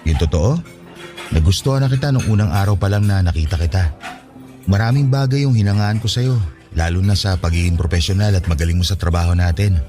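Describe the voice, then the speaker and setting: A smitten young man played by a radio actor with a low, velvety voice. He courts patiently, sounding tender and reassuring, as quiet music plays beneath him. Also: brisk, close to an everyday voice. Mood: tender, confessing. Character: devoted, patient young suitor, smitten office colleague. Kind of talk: Tagalog radio drama dialogue. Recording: studio drama with music underneath. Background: background music.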